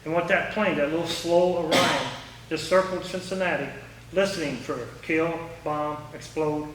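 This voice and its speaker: Male speaker for an informal talk, folksy storyteller, forceful higher voice, distant in a very echoey room. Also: steady tone, moderate pace. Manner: folksy, anecdotal. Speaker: guest speaker, former air traffic controller. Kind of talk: informal public talk with question and answer. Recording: echoey room, distant mic, faint noise.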